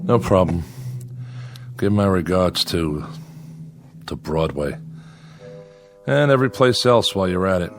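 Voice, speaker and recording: Prickly, lowish-voiced lonely exile. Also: male voice, animated, a little slow, long pauses, faint music behind. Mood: wry, grateful. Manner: gruff, wry, guarded. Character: lonely, prickly, sardonic exiled man on an asteroid. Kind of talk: science fiction audio drama acting.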